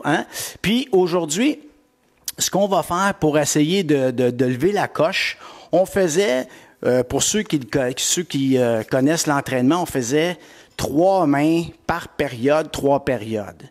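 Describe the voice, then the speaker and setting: A middle-aged man with a Québécois accent, coaching a live-streamed workout class and greeting viewers by name with lots of energy. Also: fairly high pitch. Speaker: fitness coach.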